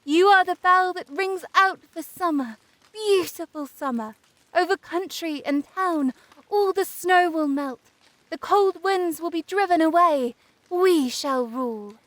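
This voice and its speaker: A woman's voice: high-pitched, expressive, takes it slowly, pauses often, no room sound, pitched above the performer's own voice, rough recording. A woman voicing adoring, joyful storybook sunbeams, with effusive, lilting exclamations. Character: adoring, bright-spirited sunbeams praising and promising summer.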